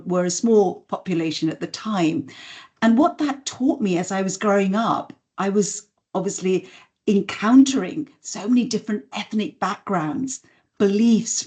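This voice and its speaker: A middle-aged woman from Singapore talks as a guest on a podcast interview. She tells her story in a measured, articulate way, as befits a voice and communications coach. Her pitch sits in an ordinary middle range. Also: darkish tone.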